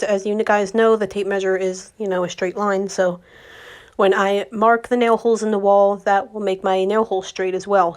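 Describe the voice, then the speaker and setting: A young woman with a slightly raspy voice from a recent cold, chatting casually to viewers as she narrates her decorating vlog. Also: medium pitch. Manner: chatty, friendly, addresses viewers directly. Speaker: home decor vlogger.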